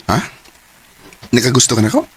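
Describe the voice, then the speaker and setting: A man's voice: medium pitch, huge pitch swings, moderate pace, over loud music. A man playing an easygoing, sweet-talking young boyfriend in a radio drama. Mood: bashful, hopeful.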